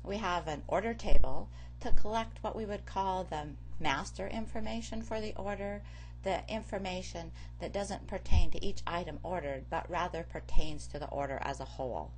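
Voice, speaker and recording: A woman instructor giving a measured, explanatory lecture over slides. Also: on the low side, rough recording. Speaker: course instructor. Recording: faint background hum.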